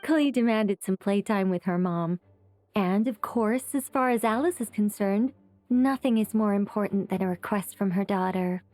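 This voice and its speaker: A woman's voice: medium pitch, normal speed, darkish tone, soft background music, lightly acted. A woman voicing a composed, businesslike young woman organizer in an anime game, explaining with self-assurance.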